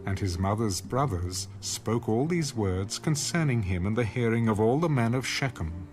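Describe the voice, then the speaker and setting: A man narrating scripture from a script in a measured, formal storytelling manner. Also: fairly low pitch, lively intonation, a little mellow, soft background music. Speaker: audio Bible narrator.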